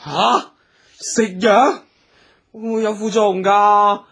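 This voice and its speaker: A man's voice: high-pitched, steady tone, slow pace, fairly bright tone, exaggerated voice. A man playing a timid, childlike young performer in a Hong Kong Cantonese radio drama, whining and anxious. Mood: reluctant worry. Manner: whining, anxious, babyish protesting. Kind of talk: festive radio drama acting. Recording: music under the voice.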